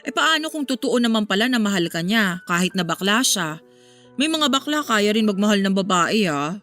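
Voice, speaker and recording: An actress playing a concerned, level-headed confidante in a Filipino radio drama. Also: female voice, medium pitch, sing-song, moderate pace, pushed and bright. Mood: gently reasoning. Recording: music under the dialogue.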